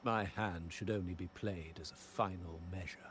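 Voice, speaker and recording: A man playing a stern aging head of a crime family in a video game, speaking gravely in a gravelly voice. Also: low-pitched, fairly even intonation, a bit bright. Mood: stern, resolute. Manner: slow, grave, measured. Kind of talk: dramatic video game dialogue.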